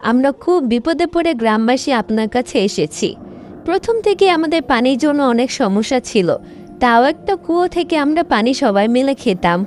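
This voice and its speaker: Woman as a high, animated, fretful village housewife. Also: slight background noise. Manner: sharp-tongued, complaining, emphatic. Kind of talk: cartoon voice acting.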